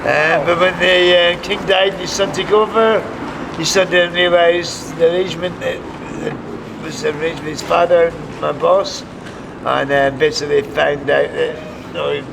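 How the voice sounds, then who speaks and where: A man's voice: a little high, steady tone, moderate pace, recorded from a distance. A middle-aged man telling his personal story casually, with some hesitation as he pieces it together. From Scotland. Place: outdoors in a town by traffic. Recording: outdoors, off-mic, clear traffic noise.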